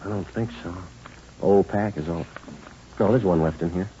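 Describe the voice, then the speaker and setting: An actor playing a terse, businesslike American police detective in a 1950s radio crime drama, with clipped, deadpan delivery. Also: male voice, low-pitched, normal speed, slight background noise, natural voice. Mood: low-key, businesslike. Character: terse, businesslike male police detective, dryly matter-of-fact. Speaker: lead actor and narrator. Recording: muffled old radio recording, music behind.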